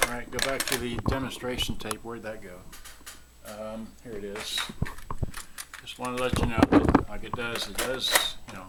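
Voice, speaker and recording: A man giving a casual, unscripted product demonstration, halting and scattered as he mutters to himself. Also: mid-range voice. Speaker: seller demonstrating vintage cassette radio. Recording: very noisy home video with clicks.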